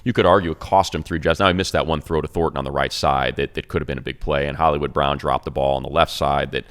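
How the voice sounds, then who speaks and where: Brief: male announcer, extremely low voice, emphatic talk-show delivery. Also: steady tone, fast, a bit bright. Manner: emphatic, builds points with "man" and "look". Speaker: radio play-by-play announcer. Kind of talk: casual sports chat.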